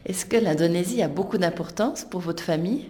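Female interviewer-presenter. Lowish pitch, dark soft timbre, scripted links and questions. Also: brisk.

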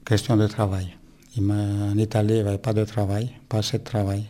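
A man in his sixties, born in Italy, answering interview questions in a plain, halting way with many fillers. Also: fairly low pitch, monotone, warm tone.

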